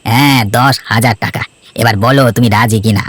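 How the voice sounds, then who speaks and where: A man's voice: medium pitch, fairly even intonation, a little fast, fairly bright tone, breathy edge, no echo. A man voicing a scheming, persuasive trader, the villain of a cartoon folk tale. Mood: scheming, coaxing. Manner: sly, coaxing, self-assured. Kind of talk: Bengali cartoon fairy-tale dialogue.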